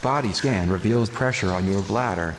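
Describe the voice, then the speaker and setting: Low, reverberant, matter-of-fact computer voice played by a man. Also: slight background noise, close to an everyday voice. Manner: measured, formal, matter-of-fact delivery. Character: calm, literal male station computer companion, helpfully blunt. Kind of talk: sci-fi audio drama acting. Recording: long reverb, music behind the voice. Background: music.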